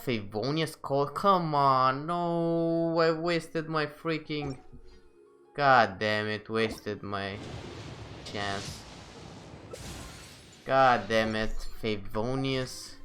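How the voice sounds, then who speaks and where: Bright-voiced man doing gameplay commentary. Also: mid-range voice, very slow, long pauses, soft background music. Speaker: gaming video host.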